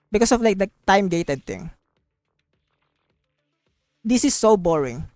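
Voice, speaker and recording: A woman's voice: medium pitch, darkish tone, no echo. A woman live streamer giving casual, rambling gaming commentary, emphatic and opinionated, repeating phrases to drive points home.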